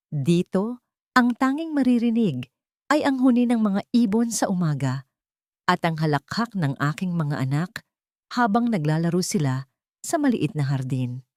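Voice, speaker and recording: A woman narrates a dramatic story in the first person. Her voice sits fairly low and is recorded very dry. She delivers the script in deliberate, short phrases. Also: darkish tone, dry recording.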